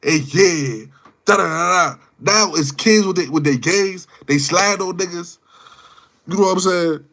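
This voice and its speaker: A man's voice: high-pitched, a little slow, creaky, fairly bright tone. A man in his twenties chatting casually as a livestream host, slangy and rambling, often repeating his words and phrases. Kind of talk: casual live chat, off-the-cuff opinion.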